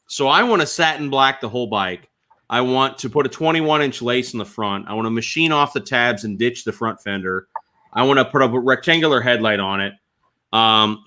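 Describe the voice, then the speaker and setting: A man chatting casually and telling stories in an animated, informal way. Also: mid-range voice, a little hiss or hum. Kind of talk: casual storytelling chat.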